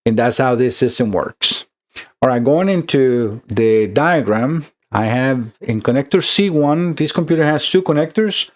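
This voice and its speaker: A man's voice: mid-range voice, expressive, measured pace. A middle-aged man from Costa Rica with a Costa Rican Spanish accent, conversationally explaining an electronics repair as a technician, with many fillers and restarts.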